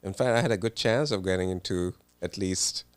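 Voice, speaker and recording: A middle-aged man from Pakistan, a cardiologist, telling his story in an interview with many pauses and ums. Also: low voice, clear, resonant tone, no echo. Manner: hesitant, many ums and uhs. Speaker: cardiologist guest. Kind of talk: relaxed interview answers.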